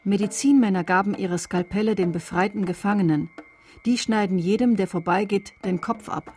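A woman reciting poetry in a radio drama production, measured and delivered in short deliberate phrases. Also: medium pitch, fairly even intonation, darkish tone, close to an everyday voice. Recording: music behind the voice. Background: music.

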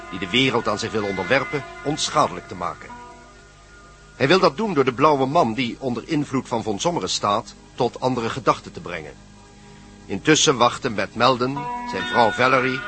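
A man reading scripted narration as the measured narrator of a science fiction radio serial. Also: medium pitch, close to an everyday voice. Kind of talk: scripted radio drama narration and title announcement. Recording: radio play with loud music behind. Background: music.

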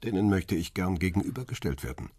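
Low, husky, defiant detained photographer. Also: male voice, animated, fast talker, darkish tone, dry recording, studio quality. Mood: firm, defiant. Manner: formal, firm, insistent. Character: defiant, controlled middle-aged male paparazzo under interrogation. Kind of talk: radio crime drama acting.

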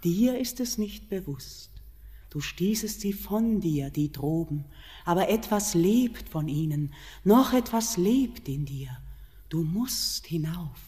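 A woman's voice: fairly low pitch, lively intonation, takes it slowly. An actress playing a mysterious mountain queen in a classic German radio play, solemn and incantatory, tender yet commanding. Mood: tender urging. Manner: solemn, incantatory verse delivery, hushed at times. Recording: radio play with long hall reverb.

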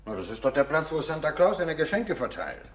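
An actor playing a weary, plain-spoken police detective in a German radio drama. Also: male voice, on the high side, sing-song, fairly quick, a little hiss or hum. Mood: reminiscing.

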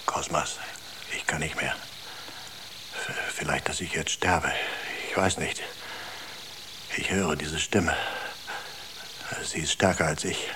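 A tormented, exhausted space traveler in a radio drama. He speaks slowly in a low voice, halting and often murmuring close to a whisper. There is clear noise behind him. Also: steady tone. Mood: exhausted, resigned. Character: tormented, exhausted male space traveler doubting his sanity.

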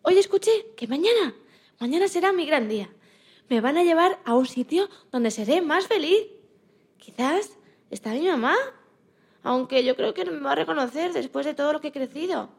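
A woman acts out a timid little bunny girl in a children's radio play. The voice is very high and creaky, childlike and plaintive, trusting like a small abandoned pet. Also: animated, normal speed. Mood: hopeful. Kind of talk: amateur radio-play acting of a children's story.

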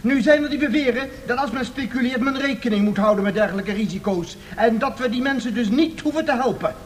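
A woman's voice: a little high, brisk, slight background noise, lightly acted. A woman playing an earnest, indignant member of parliament giving a formal speech in a radio drama. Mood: earnest indignation. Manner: formal, oratorical.

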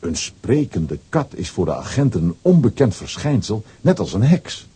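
A man narrating a children's audio play as a storyteller, reading scripted lines with lively expression. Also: on the low side, normal speed, slightly rough, exaggerated voice. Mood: animated storytelling. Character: male storyteller of a children's comic adventure.

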